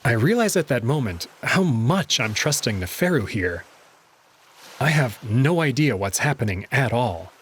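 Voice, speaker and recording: A man's voice: mid-range voice, very animated, a little slow, a little hiss or hum. A man reading scripted first-person narration from a visual novel. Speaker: narrator and reader.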